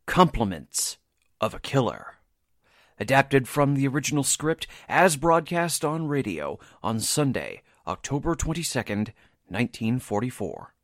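Animated male old-time radio announcer. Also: medium pitch, unhurried, no room sound, studio quality. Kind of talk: 1940s radio announcing, scripted.

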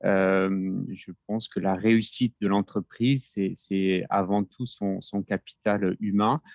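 A man in his forties, a company executive being interviewed, speaking hesitantly with many pauses and restarts. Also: deep voice, steady tone, darkish tone, dry recording, muffled recording. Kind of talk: podcast interview.

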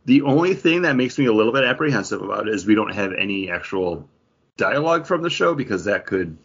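A man's voice: lowish voice, expressive, fast talker, breathy edge. A man co-hosting a podcast, chatting casually about pop culture. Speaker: podcast co-host. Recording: dull, lo-fi audio.

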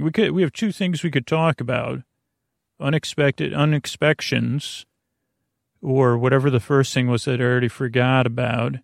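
A man with a creaky voice hosting a bedtime podcast, rambling in a lulling, stammering way and wandering off on tangents. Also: medium pitch, no echo, studio quality. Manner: meandering, stammering, goes off on tangents; lulling, soothing. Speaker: host of a bedtime sleep podcast.

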